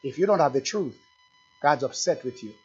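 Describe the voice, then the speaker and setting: A man preaching a sermon as pastor, swinging from quiet asides to loud, slow, emphatic scripture reading and questions put straight to his congregation. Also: medium pitch, expressive, slightly rough, soft background music. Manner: reads scripture slowly and with emphasis. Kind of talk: fiery sermon. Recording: dull, lo-fi recording.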